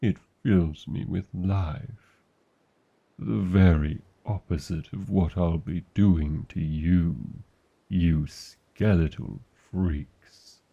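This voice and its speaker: A man's voice: sits low, creaky, husky, narrow, tinny audio, exaggerated voice. A man with a British accent voicing a huge, proud, protective dragon lover in a fantasy ASMR roleplay, speaking in formal, theatrical phrases with tender endearments and wry disdain. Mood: menacing, amused.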